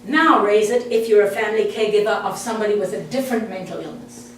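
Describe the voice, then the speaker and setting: A woman's voice: medium pitch, fast. A South African woman presenting to an audience in a meeting room, speaking in a direct and friendly way. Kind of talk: interactive talk to an audience. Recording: echoey room, far from the mic, faint hum.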